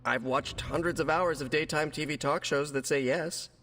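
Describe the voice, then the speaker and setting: A man's voice: on the high side, steady tone, normal speed, a bit bright, big-room sound. An actor playing a chatty, well-meaning young man, a glib supportive friend in a video game cutscene. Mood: breezy, earnest.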